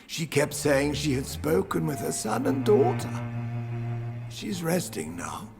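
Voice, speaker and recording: Creaky, elderly British butler. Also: male voice, a little high, wildly swooping pitch, measured pace, over music, heavily acted. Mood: worried, solemn. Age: older. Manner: formal, deferential, gently reproachful. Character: elderly, devoted, sorrowful male family butler. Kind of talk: video game dramatic dialogue.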